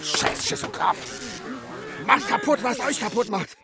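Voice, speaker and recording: Mean burglar villain, children's radio play, theatrical ranting, exasperated, very animated pitch, loud music behind. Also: male voice, unhurried, heavily acted. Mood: scornful. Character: blustering, mean, self-important burglar villain who hates children.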